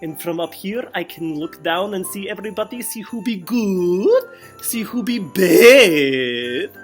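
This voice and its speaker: A woman's voice: medium pitch, expressive, a little slow, breathy edge, faint music behind. A woman voicing a boastful, touchy male hedgehog puppet who speaks broken English without articles. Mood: indignant.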